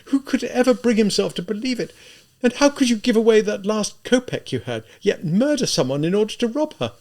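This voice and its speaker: A man's voice: high-pitched, big pitch swings, normal speed, voice pushed up, exaggerated voice. A man voicing an anguished, timid young woman who pleads in breathless questions in an audiobook drama. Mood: dismayed, pleading.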